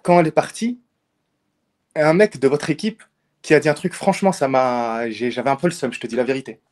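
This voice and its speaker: A man's voice: mid-range voice, a little mellow. A man calling into a live discussion, speaking informally with slang and repeating himself as he pushes his point. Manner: fast, informal slang, repeats words when pressing a point. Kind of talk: casual debate.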